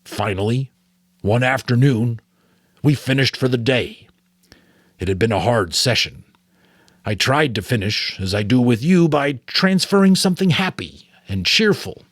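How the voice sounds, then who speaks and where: A man's voice: medium pitch, a little slow, dry recording, clean studio sound, close to an everyday voice. A man voicing a sorrowful, weary old mentor in a grave, faltering, earnest way, as in a storybook. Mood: heavy sorrow. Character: sorrowful, weary old male mentor confessing painful memories.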